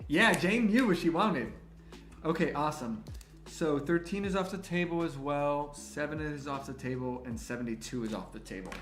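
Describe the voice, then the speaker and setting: A man with a somewhat high voice hosts a live-stream giveaway, bubbling with excitement and calling out viewers by name. Spanish was his first language, and he grew up in Miami. The room is echoey, and faint music plays underneath. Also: normal speed. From Miami, Florida, US. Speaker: livestream host running a card giveaway. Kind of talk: live-stream chat, counting out picks.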